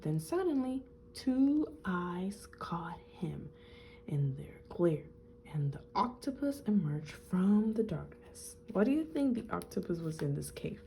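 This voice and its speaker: A woman reads aloud to children like a teacher, expressive and simple in her explanations. Her voice is mellow and highly animated in pitch. Some background noise sits behind her. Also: unhurried, creaky. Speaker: storyteller reading aloud to children. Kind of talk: expressive picture-book read-aloud with questions to children.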